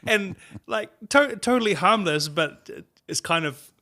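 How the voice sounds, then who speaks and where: A man from New Zealand with a New Zealand accent, chatting casually on a podcast and telling stories in a cheeky, animated way. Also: high voice, unhurried. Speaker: guest co-host.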